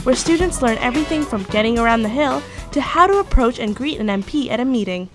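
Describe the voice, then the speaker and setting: A woman's voice: on the high side, lively intonation, fast, faint music behind. A woman host reading scripted narration for a televised news feature.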